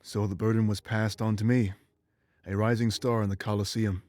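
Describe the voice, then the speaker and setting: An ancient immortal warrior from a video game, played by a man, weary and full of remorse. His low voice delivers a solemn, measured confession with a bitter edge. Faint music runs underneath. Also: little pitch movement, a little mellow, no room sound, lightly acted. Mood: grave, confessional. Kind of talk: dramatic game voice acting, confessional monologue.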